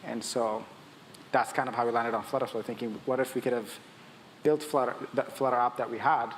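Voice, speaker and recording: A man's voice: mid-range voice, fairly even intonation, fairly quick. A man from Ethiopia speaking as a startup founder on a conference panel, conversational, often restarting his sentences mid-thought. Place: conference stage in a large hall. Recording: echoey hall with long reverb, audible noise.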